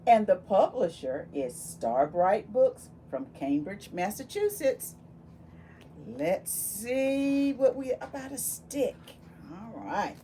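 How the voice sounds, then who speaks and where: A woman reading a picture book aloud to children in an expressive, exclaiming way, speaking directly to her young listeners with questions and asides. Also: medium pitch, slow pace. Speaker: volunteer children's book reader. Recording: steady background noise.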